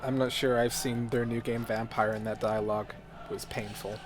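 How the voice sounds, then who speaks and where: A man's voice: medium pitch, little pitch movement, normal speed. A man on a discussion panel talking casually with a dry, sardonic manner. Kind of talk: casual chat. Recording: music playing behind the voice.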